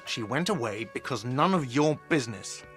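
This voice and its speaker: An actor playing an irritable, guarded cafeteria manager in a video game, curt and sardonic. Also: male voice, medium pitch, animated, moderate pace, a bit bright, natural voice. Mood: defensive, irritated. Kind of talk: game voice acting. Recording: music behind the voice. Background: game music.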